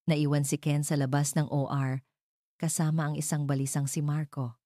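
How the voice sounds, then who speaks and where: Female storyteller for scripted audio drama: low-pitched, dark mellow tone, dramatic delivery. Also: level tone, normal speed, dry recording, clean studio sound. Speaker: narrator.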